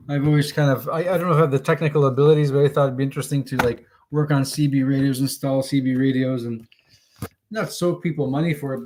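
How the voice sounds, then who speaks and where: A man's voice: mid-range voice, a little mellow, rough recording. Rambling middle-aged man over faint noise. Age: 50s. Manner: rambling, halting, digressive. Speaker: hobbyist video host. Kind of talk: casual unscripted product demonstration. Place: indoors at home. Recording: home recording with faint background noise.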